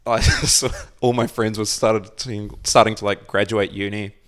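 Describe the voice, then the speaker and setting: A young man with a lowish voice and a New Zealand accent chats as a podcast guest. He tells casual stories in a laid-back way, poking fun at himself and swearing freely. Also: flat delivery, unhurried, a bit bright. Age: young adult. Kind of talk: casual chat, anecdotes.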